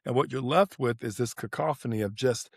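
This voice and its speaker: A man's voice: medium pitch, a little fast, vocal fry, breathy edge, no echo, clean studio sound. A man co-hosting a sports podcast, breaking down play in casual talk and repeating phrases for emphasis. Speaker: podcast co-host analysing tennis.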